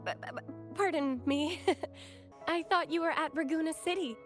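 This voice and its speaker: A woman's voice: high voice, normal speed, soft background music, natural voice. A woman voicing a devout, polite young church acolyte in a video game, hesitant and earnest. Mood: flustered.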